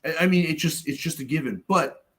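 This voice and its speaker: A man's voice: on the high side, a little fast, fairly bright tone. A middle-aged man from Canada talks in a loose, profane, conversational way. He is blunt and fills his sentences with "you know" and "right?" as he tells his stories. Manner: blunt, profane, storytelling with many fillers. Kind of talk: casual roundtable discussion.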